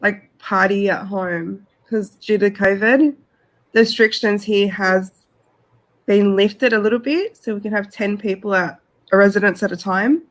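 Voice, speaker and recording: A woman's voice: medium pitch, measured pace, rough recording. A woman with an Australian accent, chatting casually as a panel guest and telling her own story.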